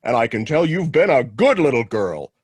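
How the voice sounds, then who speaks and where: A man's voice: medium pitch, very animated, moderate pace, husky, no room sound. A man playing a jolly, kindly old Santa Claus talking to a child in an old-time radio drama.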